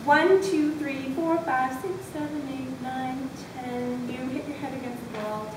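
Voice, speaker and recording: Stubborn high-voiced schoolboy, acted by a woman, echoey room. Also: steady tone. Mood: earnest counting. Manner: protesting, counts aloud slowly. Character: stubborn, literal-minded schoolboy pleading for recess. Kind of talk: amateur readers' theatre performance. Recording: distant, very echoey room, noisy with rumble.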